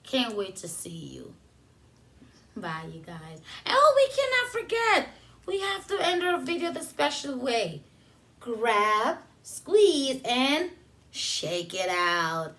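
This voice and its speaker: A woman preschool teacher reading a picture book aloud to young children, pausing for simple explanations and questions addressed to them. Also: a little high, big pitch swings, a bit bright.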